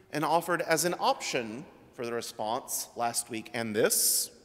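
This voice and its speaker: Slow, measured man preaching in a church. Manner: slow, measured preaching with trailing drops in pitch. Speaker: priest. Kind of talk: sermon. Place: church sanctuary at a pulpit. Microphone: pulpit microphone. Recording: long church reverb.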